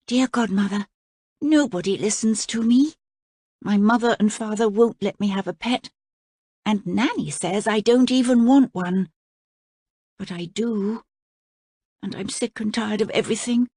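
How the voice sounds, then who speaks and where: An earnest little princess, played by a woman reading a storybook, with a high, plaintive girlish voice. The voice is breathy and husky. The recording is dry and close-miked. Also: measured pace, long pauses, mellow, no room sound. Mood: pleading. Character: unhappy young princess, earnest girl.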